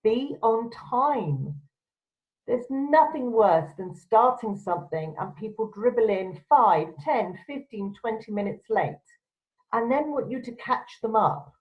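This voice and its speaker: A woman presenter giving instructional advice to camera in a firm, direct, emphatic way. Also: fairly high pitch. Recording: dull, lo-fi sound.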